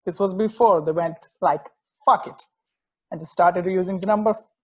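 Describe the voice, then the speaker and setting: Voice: medium pitch, normal speed, muffled recording, close to an everyday voice. A performer playing an enthusiastic, snarky sports podcast co-host in a casual table read. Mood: casual storytelling.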